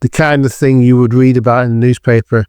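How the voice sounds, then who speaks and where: A man's voice: mid-range voice, flat delivery, a little fast, a little mellow, clear, resonant tone, dry recording, studio quality. A man narrating a scripted dramatic thriller as the storyteller of an audio drama.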